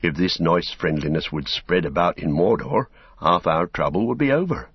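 A man voicing a loyal, plain-spoken male hobbit servant in a storybook, earnest, homely and indignant, keeping his voice hushed. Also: low voice, expressive, normal speed, breathy edge, no echo, lo-fi sound. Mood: wry, hushed.

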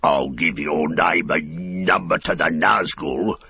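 A man plays a brutish, menacing male orc soldier from a storybook. He snarls like a bully in a deep, raspy, creaking voice. The sound is muffled and roomy. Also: slow, exaggerated voice. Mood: threatening. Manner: threatening, snarling.

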